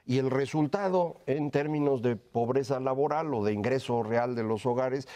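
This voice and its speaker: A man's voice: medium pitch, normal speed, dark tone. A man in his fifties with a Mexican accent, making his case assertively as a television panelist. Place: television studio panel desk. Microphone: lapel.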